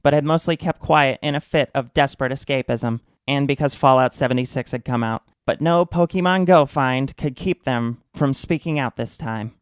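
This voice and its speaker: A man's voice: medium pitch, normal speed, few pauses, smooth, no room sound, clean studio sound. A man narrating a radio drama from a script as the storyteller.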